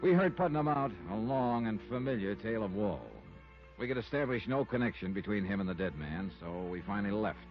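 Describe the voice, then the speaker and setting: Man acting a hard-boiled investigator, low-pitched, clipped punchy lines, wry and weary, old radio drama, muffled recording. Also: animated, fairly quick, lightly acted. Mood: weary narration. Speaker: lead actor and narrator. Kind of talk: 1950s radio detective acting and first-person narration. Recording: muffled vintage radio recording, music underneath.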